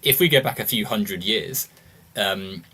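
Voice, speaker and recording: A British man with a low, bright, forward voice talks through an argument at length. He is measured and explanatory, leaning on "um" and "you know" as he builds each point. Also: slightly rough. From United Kingdom. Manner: explanatory, measured, with many fillers. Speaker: guest. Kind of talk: casual political discussion.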